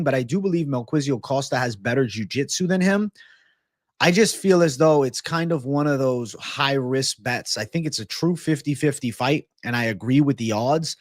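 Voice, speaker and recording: A young man from Massachusetts talks loosely to his livestream chat from a home setup, full of slang and quick asides. His voice sits at an ordinary middle pitch, and the close mic leaves it dry with no room around it. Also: no echo. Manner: loose, animated, slangy, says bro. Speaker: MMA livestream host. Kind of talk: casual livestream chat reading viewer comments.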